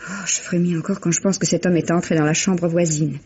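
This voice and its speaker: An actress playing a refined, emotional widow in a vintage French radio mystery, with genteel, expressive theatrical delivery. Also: female voice, lowish voice, quick pace, slightly rough. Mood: intrigued, uneasy. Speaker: actor. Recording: muffled old radio drama recording.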